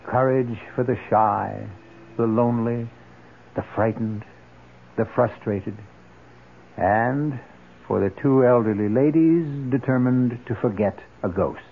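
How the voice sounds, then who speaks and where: A man hosting and narrating a 1970s radio mystery in a dramatic style with deliberate pauses. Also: medium pitch, vocal fry, slight background noise. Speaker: host, narrator. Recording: dull old radio broadcast over music.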